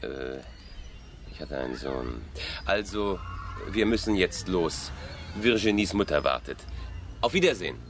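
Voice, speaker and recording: A man's voice: sits low, lively intonation, a little slow, long pauses, faint music behind. An actor playing a polite, reserved father with a stern edge in a radio drama.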